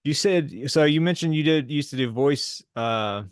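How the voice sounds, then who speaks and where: A man's voice: a little high, normal speed, smooth, no room sound, clean studio sound. A man hosting a podcast, chatting in an easygoing, encouraging way. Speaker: podcast host. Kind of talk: casual chat.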